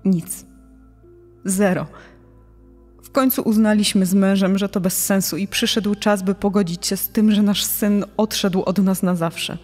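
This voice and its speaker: A woman's voice: medium pitch, fairly even intonation, a little slow, a little mellow, close to an everyday voice. A woman playing a bereaved mother giving sorrowful, resigned testimony in a true-crime podcast dramatization. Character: bereaved mother recalling search for missing son. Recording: long hall reverb, music underneath.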